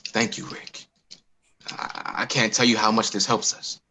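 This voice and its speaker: A man playing a protective father and survivor with an earnest, halting delivery in a dramatic table read on a video call. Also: lowish voice, fairly even intonation, noisy background. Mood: surprised, grateful. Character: protective, grateful father surviving a zombie apocalypse. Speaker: actor in an amateur table read. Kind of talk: dramatic script reading.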